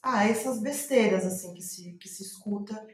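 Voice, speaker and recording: A woman's voice: mid-range voice, moderate pace, darkish tone. A Brazilian woman speaking as an interviewed playwright, reflecting in conversation and circling back to rephrase as she thinks aloud. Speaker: guest playwright. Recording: roomy, echoing voice recording.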